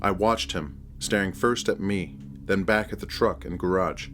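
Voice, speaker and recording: A man's voice: low-pitched, normal speed, fairly bright tone, a little hiss or hum. A man narrating a scripted first-person horror story as its narrator.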